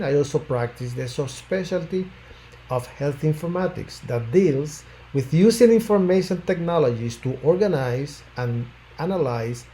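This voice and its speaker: An older man from Puerto Rico, a physician, giving a prepared self-introduction in a measured, formal way, phrases trailing off at the ends. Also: fairly high pitch, mellow, poor audio. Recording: faint background noise.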